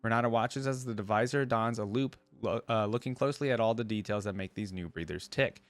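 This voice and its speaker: A man hosting a reaction video, commenting informally and reading aloud as he thinks out loud. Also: lowish voice, fairly quick, no room sound.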